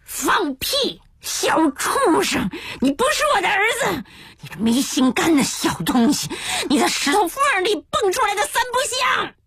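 A woman narrator voicing a desperate opium-addicted father in withdrawal, hoarse and strained, pleading and shouting. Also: extremely high pitch, very animated, takes it slowly, no room sound, pitched above the performer's own voice, heavily acted. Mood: furious cursing.

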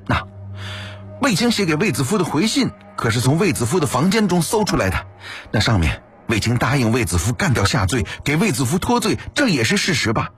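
Guy doing a proud young empress for an audiobook, defensive, pitched up. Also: natural voice. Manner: imperious, timid before her elder. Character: haughty young empress, defensive, sharp with rivals.

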